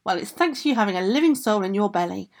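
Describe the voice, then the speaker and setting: A woman's voice: medium pitch, animated, fast, darkish tone, slight background noise, lightly acted. Female reader doing a blunt, scolding storybook ogress aunt. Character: gruff ogress aunt, scolding yet grudgingly kind.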